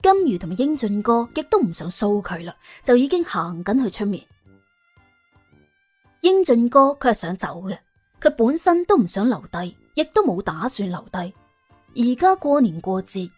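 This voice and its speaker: A woman's voice: fairly high pitch, breathy edge. A woman with a Cantonese accent narrating an audiobook as dramatic, wry storytelling. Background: soft background music.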